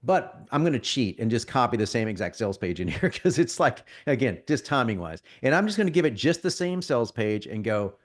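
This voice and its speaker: A man presenting a live marketing software demo, talking in a loose, salesy, conversational way and often stumbling over his words as he restarts them. He is getting over a cold and sounds a little sniffly. His voice sits at an ordinary middle pitch for a man. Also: sing-song, brisk, hardly any pauses.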